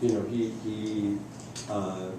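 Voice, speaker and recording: A man's voice: on the low side, flat delivery, slow. A man reading a prepared essay aloud to an audience. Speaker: reader at a library book reading. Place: indoor room at a public library event. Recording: echoey room, distant mic, faint noise.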